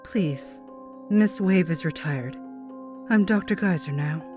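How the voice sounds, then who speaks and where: Older female doctor, acted by a woman, unhurried, polite, guarded, evasive, audio drama, light music. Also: fairly low pitch, darkish tone. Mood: composed, evasive. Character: composed, evasive older female scientist, retired superheroine.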